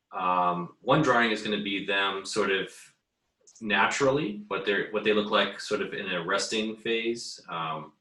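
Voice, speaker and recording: Low, bright-voiced man teaching, roomy distant mic. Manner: easygoing, hesitant, thinking aloud while drawing. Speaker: art teacher giving an assignment demo. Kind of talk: casual screen-recorded drawing tutorial.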